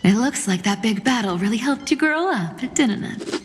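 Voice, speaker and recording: A woman's voice: on the high side, lively intonation, moderate pace, long reverb tail, background noise, natural voice. A woman playing a sly, teasing trickster in a video game, with a theatrical, playful delivery.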